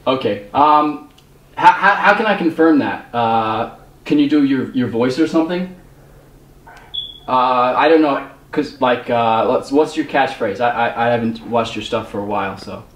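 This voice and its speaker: Young male, prank phone caller posing as producer. Halting, repetitive delivery, a bit distant from the mic. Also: mid-range voice. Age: young adult. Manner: hesitant, repeats phrases. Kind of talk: improvised prank phone call. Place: small bedroom, indoors. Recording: roomy, slightly distant microphone.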